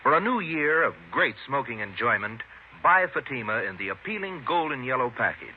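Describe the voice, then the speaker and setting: A man's voice: mid-range voice, sing-song, fairly quick. A man reading a 1950s radio advertisement in a polished, salesmanlike way. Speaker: commercial announcer. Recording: lo-fi old radio recording, faint noise.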